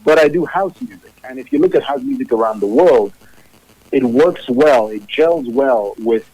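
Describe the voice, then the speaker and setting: Musician guest, 30s, from Zambia, mid-pitched man, measured and thoughtful, dry studio sound. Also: animated, warm tone, dry recording, rough recording. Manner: measured, repeats words while thinking. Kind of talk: remote interview, casual. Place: his own music studio.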